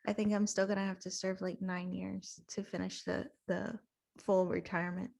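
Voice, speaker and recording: A woman with a medium-pitched voice co-hosts a podcast. Her talk is casual and conversational, with an organized, explanatory way of laying things out. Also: level tone, moderate pace, vocal fry, a little mellow. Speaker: podcast co-host, active-duty service member. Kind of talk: casual chat and hosting.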